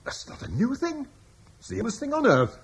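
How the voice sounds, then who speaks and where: An actor playing an earnest, eloquent Romano-British landowner who speaks persuasively in defense of Rome's legacy, in a classic radio drama. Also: male voice, extremely high pitch, wildly swooping pitch, brisk, gravelly, husky, full character voice.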